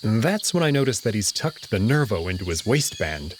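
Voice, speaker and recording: A man's voice: fairly low pitch, very animated, moderate pace, slight background noise. A man reading dramatic first-person narration from a visual novel. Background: music.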